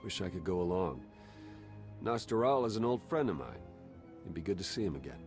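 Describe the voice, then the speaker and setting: A man's voice: sits low, sing-song, brisk, faint music behind. A man playing a wistful comrade in arms in a video game cutscene.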